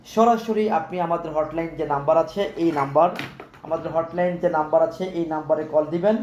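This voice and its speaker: A man with a Bangladeshi accent gives an unscripted live sales pitch in a repetitive, formally polite, instructional way. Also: fairly quick, a little mellow, highs cut off. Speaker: live-stream product seller. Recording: echoey room with faint background noise.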